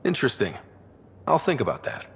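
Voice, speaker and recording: A man's voice: medium pitch, very animated, a little fast, a little hiss or hum. A man playing a young, easygoing space explorer and team leader in video game dialogue, speaking casually. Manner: casual, conversational.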